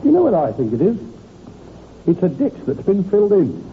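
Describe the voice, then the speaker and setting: A man playing a practical, keen-eyed police inspector in a 1950s radio drama, brisk and conversational. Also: sits high, wildly swooping pitch, phone-quality sound, full character voice. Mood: observant, keen. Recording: old band-limited radio recording, faint noise.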